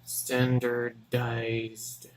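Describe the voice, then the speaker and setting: A young man from Texas, a student, mutters to himself in a halting, casual way as he works through his notes. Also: medium pitch, completely flat delivery. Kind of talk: casual study vlog, thinking aloud.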